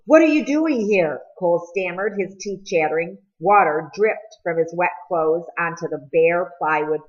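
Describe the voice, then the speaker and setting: A woman reading a story aloud as scripted audiobook narration, with an expressive delivery. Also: fairly low pitch, highs cut off. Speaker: audiobook reader.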